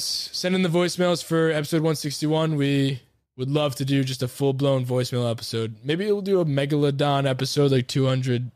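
A man's voice: medium pitch, darkish tone, no room sound. A man hosting a sports podcast, bantering casually and rambling in a joking way.

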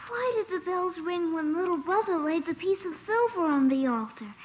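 Tiny innocent child, extremely high pitch, curious and polite, old radio drama, muffled recording. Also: female voice, expressive, normal speed. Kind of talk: 1950s radio acting. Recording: dull, noisy 1950 radio recording.

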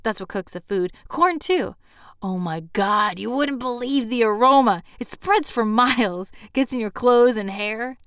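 A woman's voice: fairly high pitch, expressive, moderate pace, smooth, no echo, studio quality. A woman voicing a jovial, pushy, talkative man in an audiobook, with a folksy, hearty manner. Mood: enthusiastic. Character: jovial, pushy conservative man, a chatty host. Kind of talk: audiobook character dialogue.

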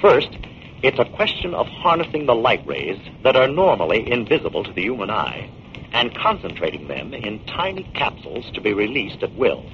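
Low, animated scientist hero. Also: male voice, normal speed. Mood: firm, earnest. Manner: authoritative, explanatory. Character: firm, earnest male scientist crime-fighter. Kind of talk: 1940s radio acting. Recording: muffled, noisy 1940s radio recording.

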